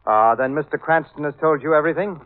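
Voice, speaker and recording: An actor playing a cultured, smug murderer who gloats coolly, in the mannered style of old radio drama. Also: male voice, fairly high pitch, fast, close to an everyday voice. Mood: smooth, sly. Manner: suave, mannered, condescending. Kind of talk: 1940s radio drama acting. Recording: tinny vintage radio recording.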